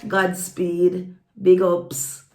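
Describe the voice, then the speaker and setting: A woman's voice: lowish voice, completely flat delivery, very slow. A woman with a Jamaican accent giving a casual opinion monologue to viewers, emphatic and repeating phrases to drive them home. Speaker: vlogger. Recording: echoey room with music behind. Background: background music.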